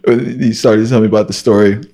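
Low-voiced man hosting a casual interview. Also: fairly even intonation, brisk, creaky, dark tone. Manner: loose, friendly questioning with fillers. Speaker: podcast host.

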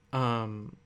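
A man's voice: medium pitch, a bit bright, smooth. Male podcast co-host chatting casually. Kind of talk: casual chat.

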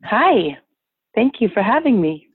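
A woman's voice: a little high, very animated, measured pace, breathy edge. A woman from Paris with French as her first language, telling her personal story conversationally as an interview guest. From born and raised in Paris, France, to American parents. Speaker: interview guest, health advocate. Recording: muffled, band-limited remote line.

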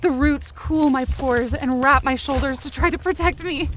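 A woman's voice: sits high, normal speed, slight background noise, natural voice. A woman playing a frightened but determined young woman, telling a supernatural ordeal in tense, breathless first-person audio fiction. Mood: fearful, tense. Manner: tense, breathless, intense present-tense storytelling. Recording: music bed under the voice. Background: dramatic music.

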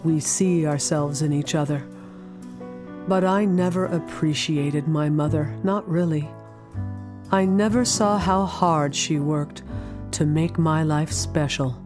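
An actress playing a wry, reflective adult daughter in an audio drama, confiding her story with pauses and sighs. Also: low-pitched, over music.

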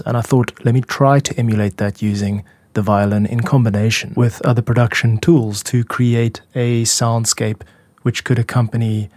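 Low-voiced man, dry close recording. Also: a little mellow, no echo. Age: adult. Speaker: podcast host and music producer. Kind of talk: explanatory podcast narration about own music.

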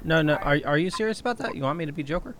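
A man's voice: fairly high pitch, animated, racing, a bit bright. A man in a casual group call, talking with hesitant, stammering restarts before reading an introduction. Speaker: participant reading the comic intro. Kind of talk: casual chat and read-out intro.

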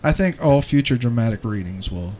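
A man co-hosting a podcast, chatting about pop culture in an animated, conversational way. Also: mid-range voice, brisk, a little hiss or hum. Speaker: podcast co-host. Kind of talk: casual pop-culture chat.

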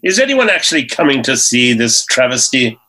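A man's voice: medium pitch, sing-song, brisk, bright, forceful tone. An actor playing a pompous, complaining veteran male stage actor. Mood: disdainful. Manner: haughty, disdainful, complaining. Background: music.

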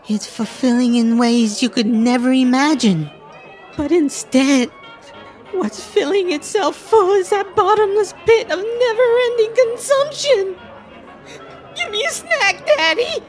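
A very high, drawn-out voice from a woman playing a manic alien father on a comedy stage. The delivery is frantic and desperate, like an audio cartoon, with faint music and crowd behind it. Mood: bitter, strained. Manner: ranting, increasingly frantic and unhinged. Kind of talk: comedic audio drama acting.

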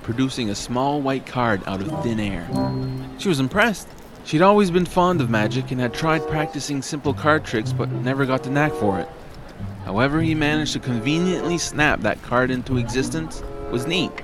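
A man's voice: medium pitch, lively intonation, moderate pace, over music. A man narrating a scripted comedy audio drama in a wry, dramatic storytelling manner.

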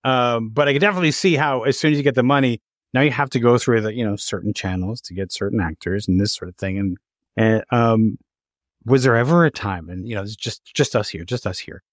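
A man hosting a casual interview, talking in an animated, rambling way and often stammering or repeating words. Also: medium pitch, a little fast, dry recording, studio quality. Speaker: interviewer, podcast host.

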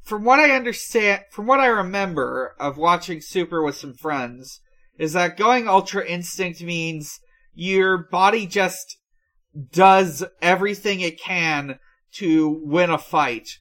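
A man's voice: high-pitched, lively intonation, a little slow, sharp tone. A man in his twenties in casual podcast chat, hesitant and thinking aloud as he goes. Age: 20s. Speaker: podcast co-host.

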